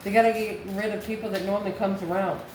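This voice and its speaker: A woman's voice: mid-range voice, steady tone, fast. Woman reading tarot in echoey, noisy room. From United States. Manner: musing aloud in short, repeated phrases with rhetorical questions. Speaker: tarot reader, channel host. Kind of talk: intuitive tarot card reading, addressing the viewer. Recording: echoey room with clear background noise.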